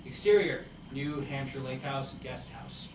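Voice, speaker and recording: A man's voice: medium pitch, measured pace. A man reading stage directions aloud at a comedy script table read, prone to breaking into laughter. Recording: noisy echoey room, far from mic.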